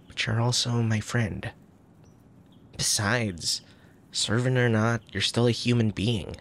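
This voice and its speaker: A man playing a soft, tender young crown prince in an intimate ASMR roleplay, speaking formally with a gentle, hesitant manner and a troubled air. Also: on the low side, slight background noise. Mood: earnest, protective. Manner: formal old-fashioned wording, gentle, hesitant.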